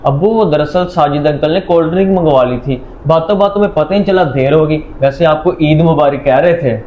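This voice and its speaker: A man's voice: on the high side, a little hiss or hum, natural voice. A man playing a sly but obedient teenage son in a moral-story drama, speaking deferentially on a dull recording. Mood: feigned innocence. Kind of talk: Urdu moral-story audio drama acting.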